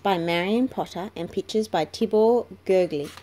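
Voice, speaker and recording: A woman's voice: medium pitch, moderate pace, dark tone. A woman reading a children's picture book aloud in a storytelling style, in a noisy home recording. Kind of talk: slow read-aloud storytelling.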